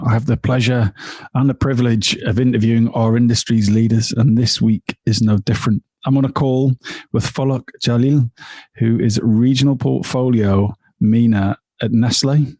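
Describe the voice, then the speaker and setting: A man in a mid-range voice hosts a podcast, introducing guests and asking friendly, brisk questions. His voice is dry and close, with no room sound. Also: mid-range voice, steady tone, a little mellow, husky, no echo. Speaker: podcast host. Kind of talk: podcast interview hosting.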